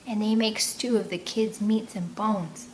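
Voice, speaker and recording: A woman voicing scared young scouts in an audiobook, in hushed murmurs, whispers and mumbles. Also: mid-range voice, steady tone, a little slow, a little hiss or hum, close to an everyday voice, low-quality recording. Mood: hushed dread. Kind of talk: audiobook character voices.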